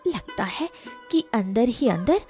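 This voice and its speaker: A woman voicing an aggrieved, hot-tempered young village wife in an animated story, complaining with melodramatic emphasis. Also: on the high side, a little slow, faint music behind. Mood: hurt, accusing.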